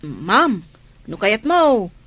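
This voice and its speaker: A woman's voice: mid-range voice, big pitch swings, takes it slowly, fairly bright tone, no echo, full character voice. A woman playing an outspoken, nosy, loyal household helper in an Ilocano audio drama, exclaiming and scolding. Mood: fussing, concerned. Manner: exclamatory, scolding, repeats words.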